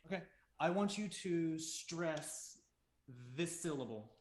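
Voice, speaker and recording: A man hosting an English conversation lesson, correcting a student's grammar. He slows down and speaks deliberately when modelling the correct phrases. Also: a little high, animated. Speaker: English teacher and host.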